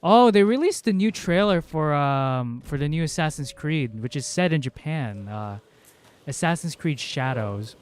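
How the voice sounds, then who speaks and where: A man's voice: on the high side, lively intonation, a little slow, clear, resonant tone. A male game streamer, a native Cebuano speaker, chatting casually and rambling with lots of fillers. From Philippines. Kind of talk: casual livestream chat.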